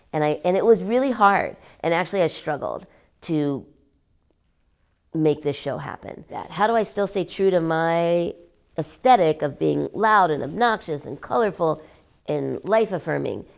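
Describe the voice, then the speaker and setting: A woman artist talking about her work in an interview, animated and emphatic, repeating words for effect. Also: deep voice, creaky. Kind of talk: gallery interview.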